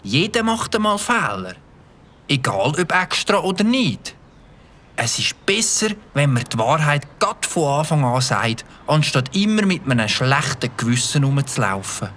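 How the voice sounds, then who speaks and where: An earnest young schoolboy in a children's radio play. His voice sits fairly high and moves up and down with plenty of expression. He sounds sheepish and good-hearted. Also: very animated, unhurried, pushed and bright, heavily acted. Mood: earnest, grateful. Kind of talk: children's audio drama acting.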